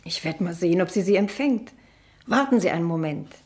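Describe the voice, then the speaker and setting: An actress playing a shy, modest spinster in a radio drama, speaking simply and in a subdued, hesitant way. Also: female voice, fairly low pitch, animated, fairly quick, darkish tone, close to an everyday voice. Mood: gentle, reserved. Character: shy, plain-spoken, modest spinster niece.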